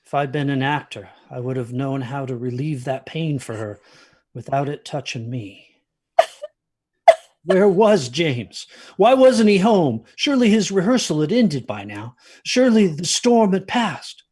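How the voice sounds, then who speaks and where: A man's voice: fairly high pitch, lively intonation, measured pace, darkish tone, husky. A man acting an anxious, haunted narrator of a dramatic first-person ghost story, hesitant and brooding in his delivery. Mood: anxious, agitated. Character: anxious haunted man recalling a stormy night.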